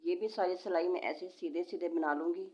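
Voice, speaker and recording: A woman walks through a knitting pattern step by step, patiently counting stitches aloud. Her voice sits fairly low and sounds a little changed by a sore throat. The recording is dull and lo-fi. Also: steady tone, brisk. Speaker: knitting tutorial host.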